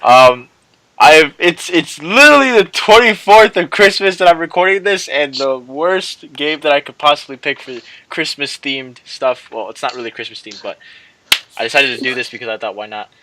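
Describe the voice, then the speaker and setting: A man's voice: a little high, lively intonation, moderate pace, pushed and bright, no room sound, poor audio. A man hosting a gaming video, chatting casually and reading story text aloud, often stumbling and repeating words.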